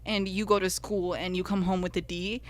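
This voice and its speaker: A woman's voice: on the low side, little pitch movement, moderate pace. A young woman from the United States whose first language is Spanish, co-hosting a podcast and sharing her own experiences in an earnest, heartfelt way. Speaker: podcast co-host. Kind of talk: personal reflective podcast talk.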